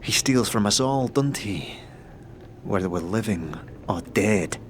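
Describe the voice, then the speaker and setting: A man's voice: on the low side, lively intonation, unhurried, slight background noise. A man voicing a sardonic, wily boss of slum corpse collectors in a fantasy audio drama, bargaining in thieves' cant. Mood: wry.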